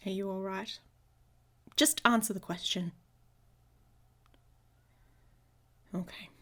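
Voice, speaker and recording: A woman playing a hot-tempered, protective she-wolf in an intimate ASMR roleplay, with a husky, breathy voice and a blunt, sardonic manner. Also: medium pitch, steady tone, moderate pace, bright, forceful tone, lightly acted. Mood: wary, concerned. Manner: sardonic, blunt, speaking straight to the listener.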